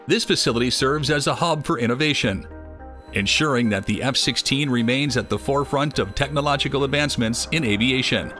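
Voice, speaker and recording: A man giving scripted documentary narration in an even, measured delivery. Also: medium pitch. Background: music.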